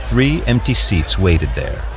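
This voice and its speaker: A man with a very low voice reads story narration in a steady, descriptive manner. Music plays clearly under him. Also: slow pace. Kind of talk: scripted narration.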